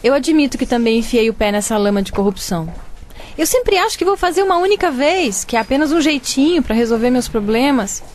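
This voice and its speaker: An actress playing a young adult daughter in a radio drama, breezy and casual. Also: female voice, sits high, lively intonation, brisk, a little mellow, a little hiss or hum.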